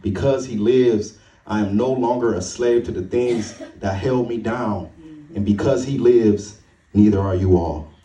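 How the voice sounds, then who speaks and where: Actor as remorseful male disciple, earnest stage monologue. Slightly low voice, preacher cadence, echoey room, faint noise. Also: measured pace, lightly acted. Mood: restored, resolute. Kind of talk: dramatic Easter monologue before a congregation. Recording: roomy, distant mic, faint noise.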